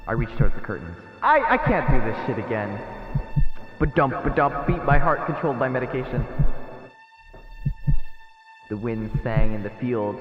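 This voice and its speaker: Male visual novel streamer-narrator, lowish pitch, expressive delivery, muffled band, reverberant, game music behind. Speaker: livestreamer playing a visual novel. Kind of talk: reading game text aloud with spoken reactions.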